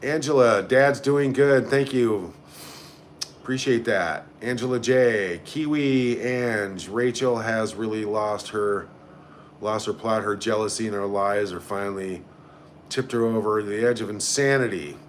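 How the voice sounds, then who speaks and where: A man's voice: lowish voice, measured pace, a little hiss or hum. A man hosting a casual live stream, reading out comments and reacting in a blunt, sarcastic, sweary way. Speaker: livestream host.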